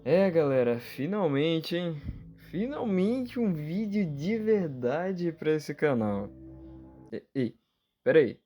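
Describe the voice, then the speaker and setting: A man's voice: a little high, big pitch swings, takes it slowly, mellow. A man with a Brazilian accent chatting casually and slangily to viewers as a channel co-host. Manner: informal, slangy. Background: background music.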